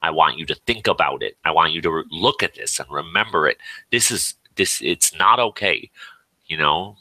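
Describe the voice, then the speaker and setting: A man with an extremely deep yet sharp, forceful voice tells a personal story in casual chat. He rambles emphatically and acts out remarks as he goes. The sound is dry and close. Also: expressive, moderate pace, dry recording, poor audio. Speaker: participant in an online voice chat.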